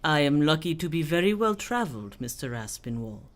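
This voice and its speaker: A worldly, mysterious swami as played by an actor in a radio play. The speech is measured and formal, with a cryptic politeness and a medium pitch. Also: sing-song, natural voice. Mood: polite, guarded.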